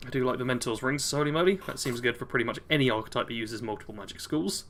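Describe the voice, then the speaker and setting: British male streamer, bright tone, casual chat-reading, easy chuckles. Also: medium pitch, racing. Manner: chatty, chuckles often, answers chat. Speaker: game streamer.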